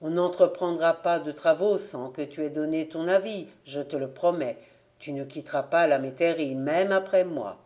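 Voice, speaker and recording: A tender old farmer from a storybook, performed by a woman narrator. He speaks low and very slowly, weary and sorrowful yet patient and soft. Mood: gentle, reassuring. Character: gentle, weary, steadfast old farmer father.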